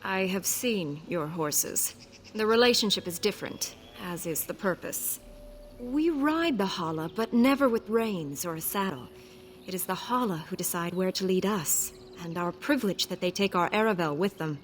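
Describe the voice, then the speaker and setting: An actress playing a gentle, devoted elven herder in a fantasy game, speaking in a measured, earnest, formal way. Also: female voice, medium pitch, expressive, a little hiss or hum, close to an everyday voice. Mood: proud, gentle. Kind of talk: fantasy game dialogue acting.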